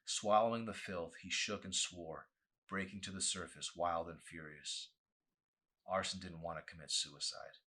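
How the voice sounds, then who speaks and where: A man's voice: low voice, steady tone, measured pace, pushed and bright. A man reading a novel aloud as a dramatic audiobook, with an intense, theatrical delivery.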